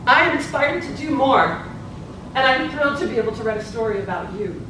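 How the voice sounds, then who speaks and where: A woman's voice: lively intonation, brisk, close to an everyday voice. A woman playing an earnest, eager newspaper reporter in a live stage play, recorded in an echoey room. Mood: eager, awed. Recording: echoey noisy room, distant mic, low rumble.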